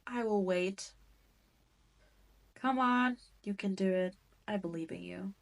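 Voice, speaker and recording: A woman voicing a cruel, haughty demon queen in a roleplay audio drama, with a teasing, condescending manner. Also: medium pitch. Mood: mocking, coaxing. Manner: slow, teasing, condescending drawl with theatrical emphasis.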